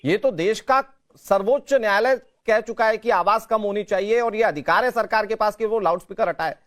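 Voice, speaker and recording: A man's voice: high voice, brisk, a bit bright. A man anchoring a live TV news debate, putting pointed questions insistently and cutting in on his guests. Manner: insistent, interrupting.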